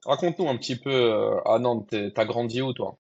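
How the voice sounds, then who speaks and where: A man's voice: mid-range voice, fairly quick, vocal fry, darkish tone, slightly rough, muffled recording. A man hosting an interview, asking questions in a friendly, conversational way. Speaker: podcast host. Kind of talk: casual interview.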